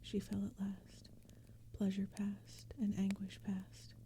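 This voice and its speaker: Female ASMR poetry reader: breathy whisper, slow, gentle and even delivery, faint fire and forest ambience. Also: mid-range voice. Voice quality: breathy whisper, mostly unvoiced. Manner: gentle, unhurried, even storytelling. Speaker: ASMR narrator reading poetry. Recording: steady background ambience noise. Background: crackling fire and forest sounds.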